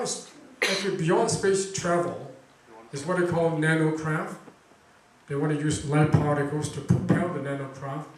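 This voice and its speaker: A man hosting a talk event, chatting casually and quizzing the audience with lots of fillers. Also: fairly high pitch. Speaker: event host introducing a speaker. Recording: very echoey room recording from far off.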